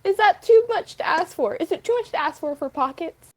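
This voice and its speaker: Young female, high voice, informal and giggly, relaxed group-chat delivery. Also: a little fast.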